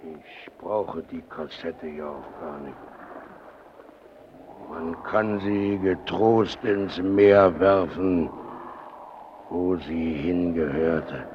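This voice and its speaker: An actor playing an anxious, stubborn lighthouse keeper in a German radio play, with a creaky voice. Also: male voice, low-pitched, fairly even intonation, drawn-out words, long pauses. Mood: resigned, solemn. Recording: muffled old radio recording, loud noise.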